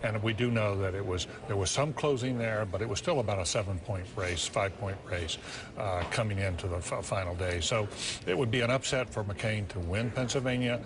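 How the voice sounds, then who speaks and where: A man with a lowish voice offers political analysis on a live news panel. He speaks in a measured, analytical way, and a crowd hums behind him. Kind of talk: panel commentary.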